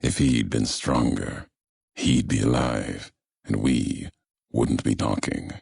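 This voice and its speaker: Brooding male game wanderer, grim and cryptic, very heavy vocal fry, unhurried clipped delivery. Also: extremely low pitch, fairly even intonation, a little mellow, heavy reverb, exaggerated voice. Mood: cold, judging. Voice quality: gravelly. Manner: cryptic, deliberate, clipped fragmentary phrases. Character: grim, brooding wasteland wanderer, solemn and judging. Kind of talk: video game character dialogue.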